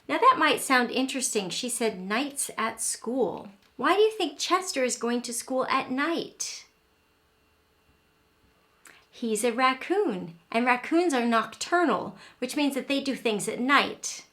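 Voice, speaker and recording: A woman narrates a picture book for young children. Her voice is on the high side and her pace is slow. She is expressive and instructive, like someone leading an early-learning lesson. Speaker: read-aloud host teaching young children. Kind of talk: scripted storybook narration with questions and explanations.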